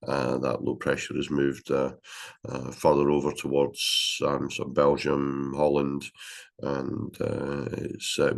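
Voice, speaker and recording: A man with a cold, congested and halting with many fillers, presenting a daily weather briefing at a gliding club. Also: rumbling low, vocal fry.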